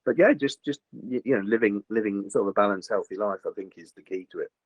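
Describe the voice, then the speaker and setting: An older man, a voice actor chatting in an interview, slightly gruff, rambling with many fillers and restarts. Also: fairly low pitch, fast, no room sound, dull audio. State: slightly gruff after a recent virus. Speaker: voice actor guest. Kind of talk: casual interview chat.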